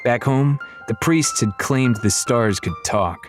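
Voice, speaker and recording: A man reading a children's fantasy novel as an audiobook narrator, in expressive, dramatic storytelling style. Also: medium pitch, takes it slowly, faint music behind.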